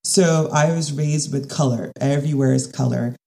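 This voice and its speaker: Gravelly woman, warm tone. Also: extremely low pitch, moderate pace. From Caribbean. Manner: thoughtful, with frequent restarts and fillers. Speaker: design and construction specialist guest. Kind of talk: casual interview chat.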